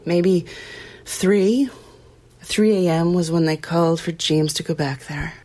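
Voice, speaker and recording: A woman playing a haunted, compassionate small-town woman, telling her horror story in a somber, measured audio drama narration with hesitant pauses. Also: lowish voice, dark tone. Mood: hushed, uneasy. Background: music under the voice.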